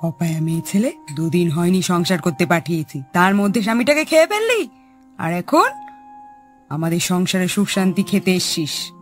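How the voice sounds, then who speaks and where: Guy doing a nasty older auntie, shrill and yelling, pitch all over, a little music behind, cartoon. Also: big pitch swings, moderate pace. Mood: furious scolding. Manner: shrill, shouting, abusive. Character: cruel, scolding older aunt of the household.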